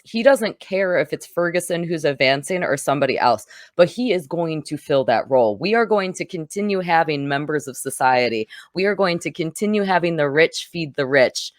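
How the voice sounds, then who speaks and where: A woman's voice: deep voice, expressive, moderate pace, few pauses, no room sound, studio quality. A woman hosting a casual film discussion, opinionated and given to emphatic repetition. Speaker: podcast host, film reviewer.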